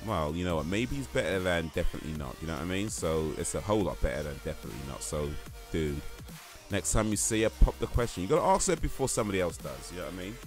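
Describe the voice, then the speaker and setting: Low-voiced man rambling casually on stream. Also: lively intonation, fast, soft background music. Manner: rambling, hesitant, many fillers and restarts. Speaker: livestream host. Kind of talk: casual stream chat, reading chat and quiz aloud.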